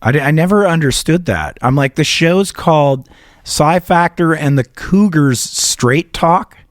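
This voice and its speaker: A man's voice: on the high side, a little slow, dry recording, studio quality. A middle-aged man from Canada co-hosting a podcast, rambling through a story with swearing and laughter. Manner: rambling, profane, laughs through his stories. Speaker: podcast co-host. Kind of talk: casual chat and storytelling.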